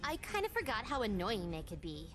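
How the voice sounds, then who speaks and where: A woman's voice: medium pitch, wildly swooping pitch, brisk, music in the background. A woman playing a sassy, scornful young cartoon villainess with a dismissive manner.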